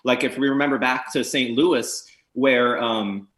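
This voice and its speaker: Relaxed man in an echoey room. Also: medium pitch, unhurried. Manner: relaxed, rambling, laughs easily. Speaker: team manager and spotter. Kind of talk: interview on a video call.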